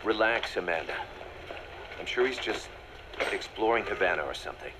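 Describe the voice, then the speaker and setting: Low, blunt military second-in-command played by an actor. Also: male voice, animated, moderate pace, noisy background, natural voice. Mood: tense. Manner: blunt, colloquial, swearing. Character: brash, hard-nosed male military second-in-command. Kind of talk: game voice acting, briefing dialogue.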